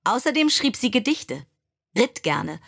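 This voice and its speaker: A woman's voice: on the high side, very animated, measured pace, vocal fry, a bit bright, breathy edge. Woman narrating a children's storybook. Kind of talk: scripted audiobook narration of children's biographical stories.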